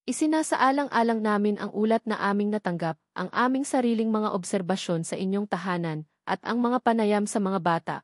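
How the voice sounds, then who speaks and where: Female Filipino narrator for dramatic romance fiction; mid-range voice, solemn measured delivery, very dry recording. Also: mid-range voice, dry recording. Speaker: story narrator.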